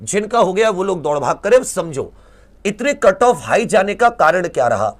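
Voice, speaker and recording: A man's voice: sits high, big pitch swings, normal speed. A man explaining exam results to students as a teacher, informally and emphatically, repeating points and asking rhetorical questions. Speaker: exam-prep teacher and presenter.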